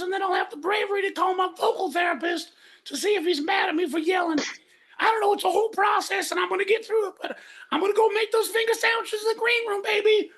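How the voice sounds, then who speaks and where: A man's voice: very high voice, pitched above the performer's own voice. A man voicing a manic screaming rocker hype guy with shrieking, hollering delivery for a comedy podcast bit. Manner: shrieking, drawn-out excited delivery with woo hollers.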